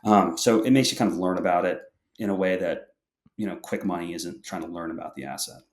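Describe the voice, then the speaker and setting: A young man with a very deep voice explains his thinking steadily, often backing up to restart a sentence. His voice carries a noticeable echo from the room around him. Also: fairly quick. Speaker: podcast guest. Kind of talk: interview answers.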